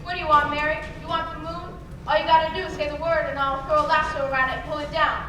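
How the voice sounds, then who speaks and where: A woman's voice: high voice, flat delivery, fast, natural voice. A woman playing a brash, idealistic, talkative young small-town man in an old-fashioned radio play. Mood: boyish, dreamy. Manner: eager, talkative, earnest. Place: live stage performance in a large room. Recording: echoey hall, distant mic, noisy.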